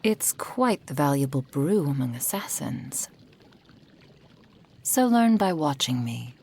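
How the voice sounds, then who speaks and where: A woman playing a possessive, doting kitsune witch in an intimate ASMR roleplay, breathy and often whispering, with a silky, formal manner. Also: deep voice, very animated, slow pace, a little mellow, exaggerated voice. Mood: silky, instructive.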